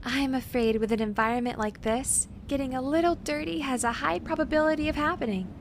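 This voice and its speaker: A woman's voice: high voice, brisk, a little hiss or hum. A woman playing an earnest, bookish young woman archaeologist in an audio drama, speaking politely, precisely and eager to explain.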